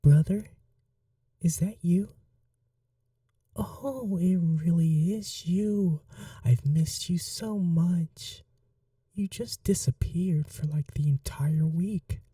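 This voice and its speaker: A performer voicing a sweet, innocent young vampire prince, a doting younger brother, in breathy, earnest ASMR roleplay. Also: medium pitch, slow pace, long pauses, dark tone, no room sound, far from the mic, pitched above the performer's own voice. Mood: relieved, affectionate. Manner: breathy, whispery, earnest, affectionate.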